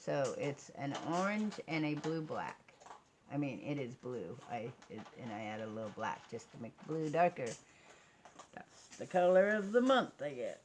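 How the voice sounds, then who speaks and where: A woman's voice: extremely low pitch, very animated, normal speed, darkish tone. An American woman chatting casually in a rambling, self-deprecating vlog recorded indoors. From United States. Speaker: vlogger and crafter. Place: indoors at a craft table. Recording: noisy home recording.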